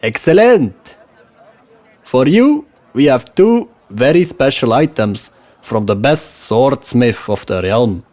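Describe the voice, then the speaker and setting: A man voicing a sly, smooth-talking weapons trader with a put-on West Frankish accent. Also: mid-range voice, very animated, slow, darkish tone. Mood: coaxing. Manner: coaxing, salesman-like.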